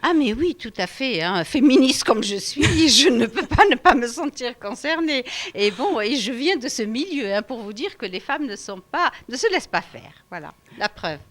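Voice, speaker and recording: A woman's voice: a little high, moderate pace. Hesitant woman storyteller from Algeria. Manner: hesitant, many fillers, warm laughter when animated. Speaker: storyteller guest. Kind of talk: radio interview. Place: storytelling festival.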